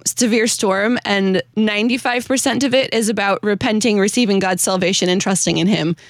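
Animated American woman, close dry sound. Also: mid-range voice, dry recording. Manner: animated, giggly, informal. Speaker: host presenting a hymn-writer's story. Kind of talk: casual chat with read-aloud hymn verses.

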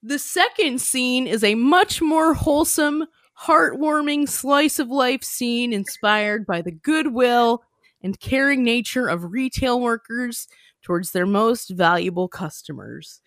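A woman's voice: fairly high pitch, slow pace, a bit bright, no room sound. A woman co-hosting a podcast, chatting casually and giggling, filling her talk with "like". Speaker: podcast co-host. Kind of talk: casual chat.

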